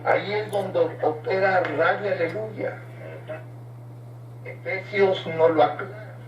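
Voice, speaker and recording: A man's voice: high voice, fairly even intonation, a little slow, recorded from a distance. A man preaching in a religious broadcast, heard through an old radio speaker. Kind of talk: Spanish-language religious broadcast. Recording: muffled, noisy, echoey old radio playing from afar.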